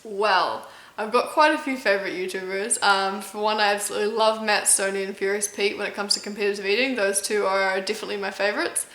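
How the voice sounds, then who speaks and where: A woman with a mix of New Zealand and American accent, a competitive eater answering viewer questions in a chatty way, often pausing with "um" and tripping over names. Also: mid-range voice, fairly even intonation, a bit bright, reverberant, poor audio. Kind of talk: casual Q&A vlog.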